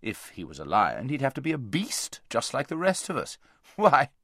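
A man's voice: mid-range voice, huge pitch swings, moderate pace, bright, forceful tone, no room sound, clean studio sound, voice pushed up, full character voice. A man voicing a proud, pompous male horse who is easily frightened, in a storybook reading. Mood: scoffing. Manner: pompous, lecturing.

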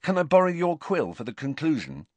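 A man's voice: a little high, wildly swooping pitch, fairly quick, no room sound, voice pushed up. A man voicing a gloomy, laid-back teenage boy. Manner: gloomy, mumbling.

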